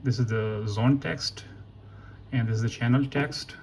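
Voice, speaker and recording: A man giving a casual, unscripted software walkthrough in a halting way, thinking aloud and correcting himself. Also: medium pitch. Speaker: tutorial presenter. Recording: noisy room with keyboard typing. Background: computer keyboard clicks, ambient noise.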